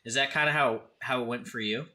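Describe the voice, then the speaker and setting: A man's voice: mid-range voice, a little fast, pushed and bright. A man in his thirties hosting a casual livestream, talking informally and directly to his viewers. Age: 30s. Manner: informal, conversational, addresses chatters directly. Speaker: live streamer, host.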